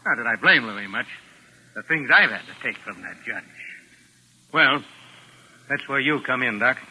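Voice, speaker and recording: A man's voice: mid-range voice, animated, normal speed, pushed and bright, breathy edge, exaggerated voice. A man acting a tough, bossy American gangster in a 1940s radio drama, with slangy, curt, menacing talk. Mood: pressing. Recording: noisy vintage 1940s radio recording.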